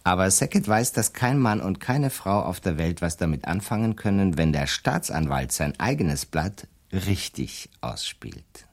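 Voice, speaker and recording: An actor playing a shrewd, self-assured defence lawyer in a radio play, explaining his schemes deliberately and slyly. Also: low voice, lively intonation, exaggerated voice. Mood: explaining, cool. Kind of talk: German radio drama dialogue.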